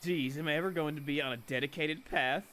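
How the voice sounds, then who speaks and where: A man's voice: fairly high pitch, fast talker, noisy background. A man giving casual, jokey, slangy commentary as he hosts a game playthrough. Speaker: gaming video host.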